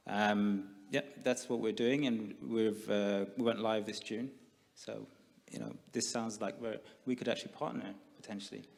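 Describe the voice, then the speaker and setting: A man's voice: fairly low pitch, fairly even intonation, normal speed, creaky, a little mellow. A Swedish-born man with a British-English accent, a startup founder on a conference panel, friendly and hesitant, with many fillers. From Sweden. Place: large conference hall, stage. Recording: long hall reverb.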